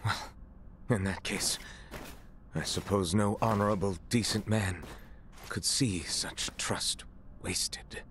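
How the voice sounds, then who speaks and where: An actor playing a loyal, courteous nobleman knight in a video game, with formal, measured speech. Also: deep voice, a bit bright, slight background noise. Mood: solemn. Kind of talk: dramatic video game voice acting. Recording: game music behind. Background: background music.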